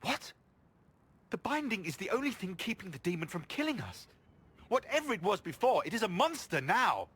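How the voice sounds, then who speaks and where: A man's voice: high-pitched, big pitch swings, normal speed, a bit bright, slightly rough, exaggerated voice. An actor playing a defensive, condescending male mage who gets flustered under pressure in a video game drama. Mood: defensive, alarmed. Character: flustered, self-important human male mage pleading his case.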